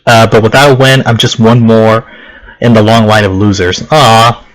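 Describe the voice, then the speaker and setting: A man's voice: fairly low pitch, flat delivery, normal speed, rough recording. A man from Okinawa doing casual game commentary and reading on-screen text, in a rambling, self-deprecating, giggly manner. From Okinawa, Japan. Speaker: let's play host. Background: game music.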